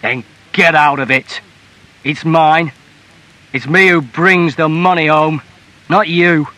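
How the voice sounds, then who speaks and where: A man voicing a rough, belligerent drunken working husband in a storybook reading, with slurred, emphatic shouting. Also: mid-range voice, steady tone, takes it slowly. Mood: enraged.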